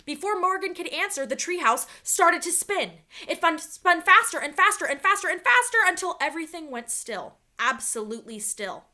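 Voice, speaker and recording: A woman reading a children's story aloud like a teacher, with dramatic, expressive storytelling. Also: very high-pitched, moderate pace, few pauses.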